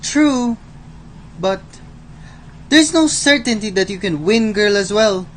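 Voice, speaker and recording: A woman playing Death, a sardonic, playful, menacing male personification, in a radio drama with a slow, mocking delivery. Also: mid-range voice, lively intonation, slight background noise. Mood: taunting.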